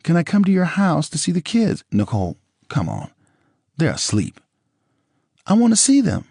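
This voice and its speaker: A man's voice: on the high side, wildly swooping pitch, normal speed, creaky, mellow, breathy edge, no room sound, clean studio sound, voice pushed up, full character voice. A man reading an audiobook, voicing a tearful, apologetic ex-wife in a raised, teary voice. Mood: pleading. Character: tearful pleading woman, his ex-wife. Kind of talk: audiobook character voice.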